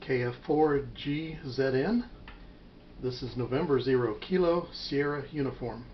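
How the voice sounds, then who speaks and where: An American man talking casually as an amateur radio operator, measured and a little hesitant, on a muffled home recording. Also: mid-range voice, a little hiss or hum.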